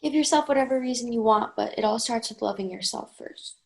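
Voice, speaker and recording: A woman reading a gentle, kind young woman. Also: fairly high pitch, brisk, creaky. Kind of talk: amateur table read.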